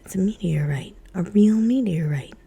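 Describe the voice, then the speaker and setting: An excitable boy who keeps insisting he is telling the truth, whispered by a woman reader. The whisper is breathy and dark, and the delivery stays hushed. The insistence shows in the wording. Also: medium pitch, normal speed. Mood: insistent. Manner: insistent, frustrated. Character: excitable boy no one believes.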